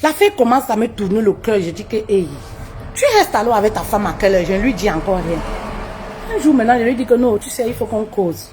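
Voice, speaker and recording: Animated woman. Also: fast talker, soft background music. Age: 30s. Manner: expressive, swoops high for emphasis, drops low and quiet, laughs often. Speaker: live streamer telling her story. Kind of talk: animated personal storytelling. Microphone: phone on a live stream.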